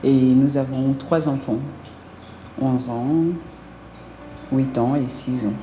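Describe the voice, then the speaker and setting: A woman with a low, very dark, mellow voice speaks haltingly, pausing on fillers and restarting her sentences. Her voice rings in a roomy, echoey space. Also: fairly even intonation, soft background music. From Congo. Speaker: interviewee, hair clinic co-founder. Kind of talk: interview answers. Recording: roomy echo.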